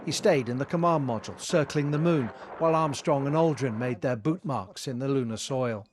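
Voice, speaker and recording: A man's voice: medium pitch, a little fast, background noise. A man narrating a scripted news report as a correspondent. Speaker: science correspondent.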